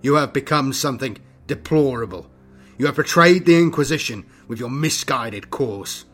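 A man's voice: on the low side, animated, slow pace, sharp tone, slightly rough, soft background music, exaggerated voice. A man voicing a righteous young inquisitor in an audiobook, speaking in a firm, stern and accusing declamatory way. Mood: firm, condemning.

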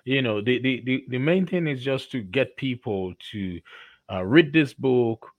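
A man hosting an interview podcast, affirming his guest and asking questions deliberately. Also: mid-range voice, animated, dry recording. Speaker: podcast host. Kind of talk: conversational interview.

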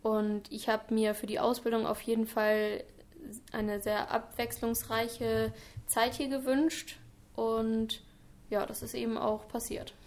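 A woman's voice: a little high, flat delivery, slow. A woman of twenty-one, a trainee, answering interview questions earnestly and at length, with some fillers. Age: 20s. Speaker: trainee in public administration.

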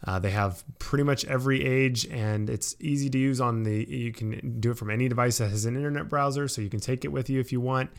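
A man with a medium-pitched voice and a low-key delivery. He talks as a podcast co-host would, informal and laid-back, as if speaking off the cuff. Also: fast talker, vocal fry, hardly any pauses. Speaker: podcast co-host reading sponsor message. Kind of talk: casual, unscripted-sounding host talk.

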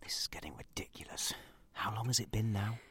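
An actor playing an exasperated dad with a short temper in an audio drama, moving between hushed mutters and loud outbursts. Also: male voice, fairly low pitch, fairly quick, husky, heavily acted. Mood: hushed, weary.

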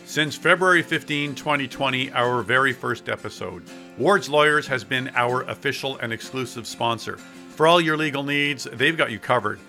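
Bright-voiced male podcast host. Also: mid-range voice, moderate pace, faint music behind. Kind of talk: comic sketch then scripted podcast outro.

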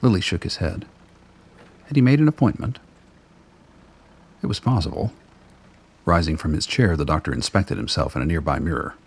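Very deep, gravelly male narrator. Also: animated, moderate pace, pauses often. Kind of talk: scripted radio drama narration.

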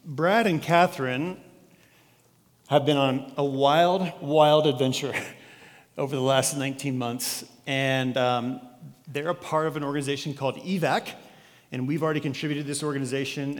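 A male pastor introduces his guests in a relaxed, friendly, conversational way. His voice sits in an ordinary middle range, carried by a long hall reverb. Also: medium pitch, moderate pace. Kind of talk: sermon introduction. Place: church sanctuary.

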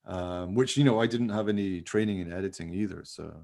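Low-pitched man chatting casually. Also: brisk, vocal fry, a little mellow. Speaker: podcast host and amateur radio play producer. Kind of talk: casual chat about making a radio play.

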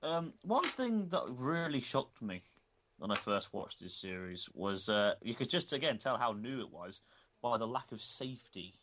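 A man in his twenties co-hosting a casual podcast, speaking in a measured, hesitant way with many fillers. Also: mid-range voice, big pitch swings, a bit bright. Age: 20s. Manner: measured, hesitant, lots of "um" and "literally". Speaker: podcast co-host.

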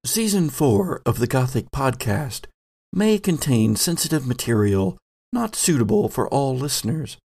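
A man hosts a tabletop roleplay podcast as its game master. His voice has a gravelly texture, and he is recorded in a dry, close space. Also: mid-range voice, very animated, measured pace, a little mellow, dry recording.

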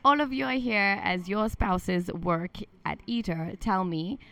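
A woman's voice: mid-range voice, a little slow, sharp tone, clean tone. A woman hosting a podcast, reading an upbeat scripted intro and then asking her guests questions. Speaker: podcast host.

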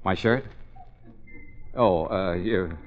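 A man acting in a vintage radio comedy-mystery as a flustered, fast-talking private detective who stammers and bluffs. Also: deep voice. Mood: flustered bluffing. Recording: muffled vintage radio recording.

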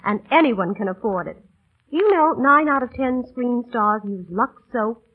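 An enthusiastic young woman chatting in a vintage radio commercial. Her voice is high and very animated with a slight husk, through a narrow old recording. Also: measured pace, husky. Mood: chatty, enthusiastic. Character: chatty young woman demonstrating a beauty routine. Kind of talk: 1930s radio ad acting.

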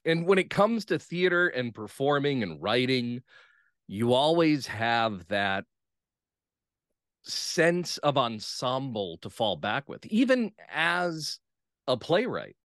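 A man speaking as an interview guest in casual chat, enthusiastic and often checking his points with a quick questioning tag. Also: mid-range voice, sing-song, fairly bright tone, no room sound, clean studio sound. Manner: enthusiastic, punctuates points with "right?". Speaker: playwright and actor, podcast guest.

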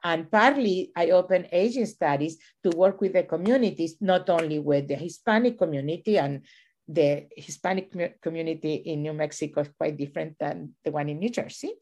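A middle-aged woman from Chile, raised in Venezuela, speaks in a slightly low voice as an interview guest. She reflects on things at length, circling back with fillers and restarts as she works her thoughts out. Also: brisk, a little mellow. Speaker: university administrator and professor, podcast guest.

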